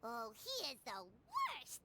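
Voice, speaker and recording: A woman voicing a tiny, excitable, cheeky fairy companion in a video game, squeaky and childlike with bubbly, blunt delivery. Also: slow pace, heavy background noise, heavily acted. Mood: indignant. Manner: bubbly, exaggerated, outspoken. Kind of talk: English dub video game acting.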